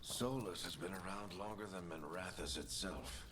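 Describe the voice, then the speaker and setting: An actor playing a weary, wry middle-aged adventurer and guide in a video game. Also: male voice, deep voice, moderate pace, slight background noise. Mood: grave. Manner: wry, knowing. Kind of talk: dramatic video game acting. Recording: loud game music behind.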